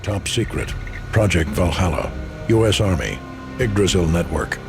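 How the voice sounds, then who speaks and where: A deep, weary noir detective. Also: male voice, moderate pace. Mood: reading flatly. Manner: flat, grim, world-weary delivery. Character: brooding male detective, hardened and weary. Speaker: narrator and protagonist detective. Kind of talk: hardboiled noir narration. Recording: game cutscene with loud music behind.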